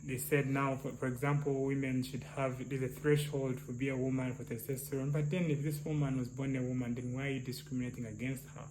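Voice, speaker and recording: A man with a soft, slightly high voice, thinking aloud in casual discussion with many restarts and "yeah?" tags. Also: little pitch movement, fast, few pauses. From Uganda. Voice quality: soft, somewhat high-pitched voice. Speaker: clinical psychologist, co-host. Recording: noisy, crickets and hum.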